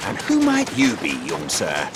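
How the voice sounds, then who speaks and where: An actor playing a jovial, rough-spoken common traveller in a fantasy video game, with folksy, rustic speech. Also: male voice, on the high side, huge pitch swings, normal speed, very noisy, full character voice. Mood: curious, friendly.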